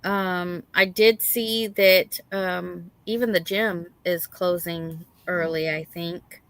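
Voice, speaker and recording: A woman's voice: lowish voice, steady tone, slow, fairly bright tone, no room sound. A middle-aged woman co-hosting a livestream in casual, folksy chat, reading out and greeting viewers. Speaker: livestream co-host.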